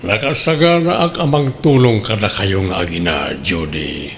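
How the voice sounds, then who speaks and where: An actor playing a smooth-talking, flirtatious customer in a comedic radio drama, speaking in a teasing way. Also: male voice, on the low side, normal speed, slight background noise, lightly acted.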